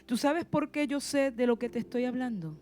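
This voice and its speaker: Puerto Rican woman preacher, mellow mid-pitched voice, fervent sermon with pointed questions, soft music under her. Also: moderate pace. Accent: Puerto Rican Spanish. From Puerto Rico. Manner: passionate preaching with rhetorical questions and sudden emphasis.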